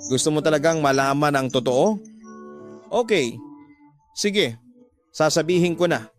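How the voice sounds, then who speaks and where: A man's voice: a little high, a little slow, close to an everyday voice. A man playing a betrayed husband in a radio drama, hurt and earnest as he emphatically pleads his side. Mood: hurt, bitter. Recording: music bed behind the voice.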